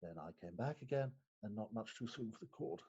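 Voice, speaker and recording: An actor playing a flustered, guilty, respectable magistrate in a theatrical comic reading, stammering and hesitant with a husky voice. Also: male voice, fairly low pitch, expressive, brisk, warm tone, exaggerated voice. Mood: weary, self-reproaching. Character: flustered, guilt-ridden, respectable London magistrate, disheveled after a night out. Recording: dull lo-fi remote recording.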